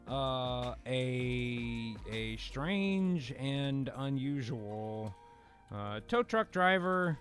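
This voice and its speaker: A man hosting and narrating an improvised radio comedy, mixing casual joking direction with scene-setting. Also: mid-range voice. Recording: faint music under the voice.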